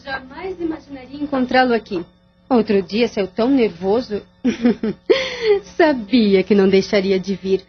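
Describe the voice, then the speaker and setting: A woman's voice: a little high, very animated, slightly rough, muffled recording, faint music behind, heavily acted. A woman acting a scheming, seductive and cruel mistress in an audio soap opera, with a taunting, mocking drawl. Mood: coy, teasing. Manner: taunting, drawn-out, laughing scornfully.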